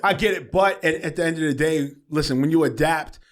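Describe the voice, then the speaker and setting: A man in his forties with a New York accent, hosting a casual interview chat in a relaxed, streetwise way. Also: fairly high pitch, fairly quick. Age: 40s. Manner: relaxed, streetwise, keeps saying "you know what I'm saying". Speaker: podcast host.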